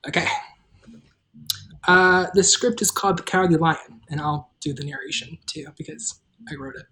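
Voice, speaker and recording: Slightly low-voiced woman hosting a read. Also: moderate pace, a bit bright. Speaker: writer and host, narrator of a table read. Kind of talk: casual chat and scripted narration.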